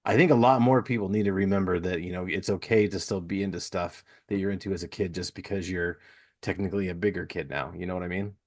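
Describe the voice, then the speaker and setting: A man with a low-pitched voice hosts a relaxed conversation. He speaks informally and agreeably, like someone chatting casually with a guest on a podcast. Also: quick pace. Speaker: podcast host. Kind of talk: casual interview chat.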